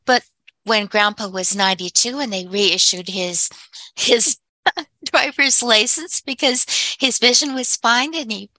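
A woman's voice: fairly high pitch, measured pace, sharp tone, no echo, poor audio. An older woman in casual conversation, with a sweet, small voice and a chatty manner, often laughing as she talks. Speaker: discussion participant and family caregiver.

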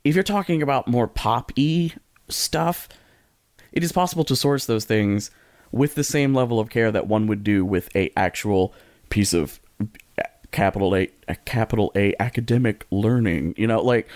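A man's voice: lowish voice, moderate pace, darkish tone, dry recording. A man co-hosting a podcast, giving casual advice in a rambling, jokey way and often interrupting himself. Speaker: podcast co-host.

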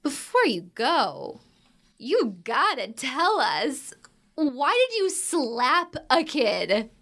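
A woman's voice: high voice, very animated, very slow, fairly bright tone, full character voice. A woman playing a pleading, nosy, cheeky young daughter in a modern audio drama. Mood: pleading, curious.

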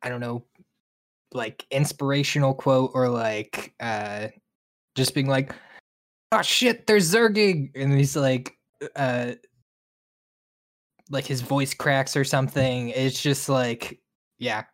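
A man co-hosting a podcast who talks casually, packing his speech with fillers and laughter. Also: mid-range voice. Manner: slow, filler-heavy, laughing. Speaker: podcast co-host.

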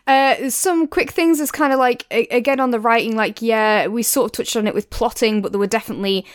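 A woman's voice: on the high side, fairly quick, no echo, studio quality. A woman in a casual review discussion as a podcast co-host, thinking aloud in a tentative, hedging way.

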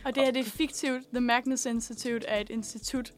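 En ung kvinde, der er medvært i et radiostudie og snakker løst og griner meget. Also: female voice, on the high side, steady tone, soft background music. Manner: quick, laughing banter. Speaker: radio host. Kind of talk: casual studio chat. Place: student radio studio.

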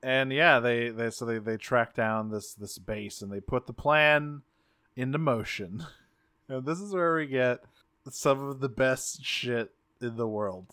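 A man co-hosting a podcast, recapping scenes in a rambling, excitable way and swearing freely. His voice sits in a middle range and sounds bright and forward. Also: mid-range voice, a little slow, clean tone. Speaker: podcast co-host. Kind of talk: casual chat, recapping an episode.

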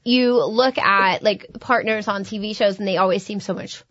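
A woman's voice: medium pitch, normal speed, a bit bright. A woman in her thirties hosting a podcast, chatty and teasing, with lots of fillers as she talks. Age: 30s. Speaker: podcast host. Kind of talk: casual podcast chat. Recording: dry, slightly muffled podcast recording.

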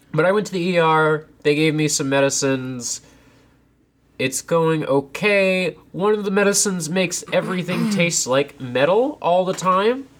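A young man co-hosting a casual podcast from a home basement, a bit congested, animated and self-deprecating. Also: a little high. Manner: animated, self-deprecating, sips tea while talking. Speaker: podcast co-host. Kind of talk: casual chat.

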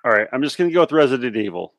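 A man's voice: medium pitch, sing-song, rapid-fire, fairly bright tone. A man co-hosting a podcast, chatting casually and tossing in short reactions. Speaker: podcast co-host playing a guessing game.

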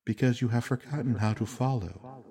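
A man voicing a gentle, wise male spirit, a beloved mentor in an audiobook, soft and knowing. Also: mid-range voice, normal speed, husky, pitched above the performer's own voice. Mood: frank.

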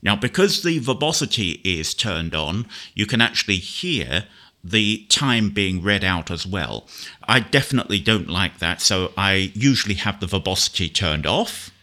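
Male tutorial presenter. Lowish, bright, lively intonation, casual and explanatory. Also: normal speed. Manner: conversational, explanatory. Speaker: presenter demonstrating screen reader software.

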